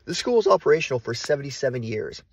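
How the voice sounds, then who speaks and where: A man with a husky, slightly breathy voice tells the story of an abandoned school. His delivery is calm and measured, in the manner of a documentary narrator. His voice sits at an ordinary pitch. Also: no room sound. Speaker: urban explorer narrator. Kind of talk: documentary narration with on-site asides.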